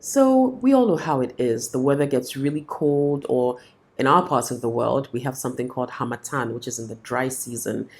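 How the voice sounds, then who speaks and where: A woman with an unusually deep, low voice explains practical tips as a vocal coach. She has a cold, yet keeps her delivery friendly and clear. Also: expressive, normal speed, darkish tone. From Ghana. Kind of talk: instructional talk to camera.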